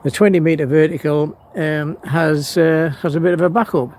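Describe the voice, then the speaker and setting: A man gives a casual, unscripted show-and-tell in a halting, hesitant way, often pausing mid-sentence. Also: on the high side, steady tone, a little mellow. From New Zealand. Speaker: amateur radio operator, presenter. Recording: noisy amateur home video.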